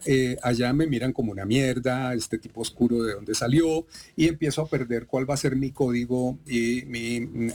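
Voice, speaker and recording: Creaky-voiced Bogotá man lecturing. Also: mid-range voice, a little mellow. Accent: Bogotá Colombian. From Colombia. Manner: lecturing, emphatic, digressive. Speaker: doctor, expert guest. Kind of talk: interview explanation.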